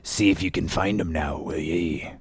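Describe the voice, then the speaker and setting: A male narrator acts out a cryptic old sailor from a storybook sea tale. The voice swings higher and louder, repeating odd phrases in salty old dialect, taunting and half-mad, recorded close and dry. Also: animated, a little slow, gravelly, husky, no room sound, exaggerated voice. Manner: odd, muttering repetitions in old nautical dialect.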